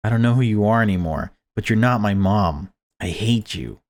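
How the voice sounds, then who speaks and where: A man's voice: lowish voice, animated, moderate pace, darkish tone, natural voice. A man voicing a distraught young daughter, fed up and pleading, reading visual novel dialogue aloud. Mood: hurt, defiant.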